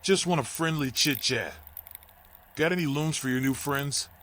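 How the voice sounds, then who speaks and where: An actor playing a menacing animal street thug in a video game, mocking and confrontational. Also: male voice, on the high side, moderate pace, a bit bright, close to an everyday voice. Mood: mocking menace.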